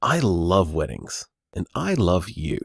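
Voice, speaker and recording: Fond bar-owner boyfriend, low-pitched, lively intonation, warm, teasing, conversational audio drama. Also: male voice, slow, vocal fry, slightly rough, no room sound, full character voice. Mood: sympathetic, loving. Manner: warm, teasing, rambling reassurance. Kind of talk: audio drama voicemail acting, naturalistic and conversational.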